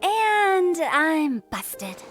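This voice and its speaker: A young, irreverent funeral director from a video game. Her voice is high, playful and colloquial, with a grumbling edge. Music plays underneath. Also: very animated, drawn-out words, heavily acted. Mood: miffed, playful.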